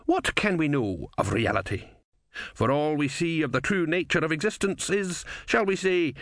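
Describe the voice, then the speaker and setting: A cheerful, pompous philosopher put on by a man. He rambles grandly, his pitch swooping high as he muses, in the manner of a storybook character. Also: a bit bright, no echo, clean studio sound. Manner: musing, grandiloquent, amused. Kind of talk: audiobook character voice.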